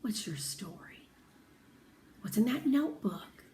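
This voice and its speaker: Woman reading as a soft, weary storybook mother, unhurried. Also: medium pitch, lively intonation, in a whisper, lightly acted. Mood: tender. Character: weary, gentle, thoughtful mother in a children's story. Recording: roomy echo, faint background noise.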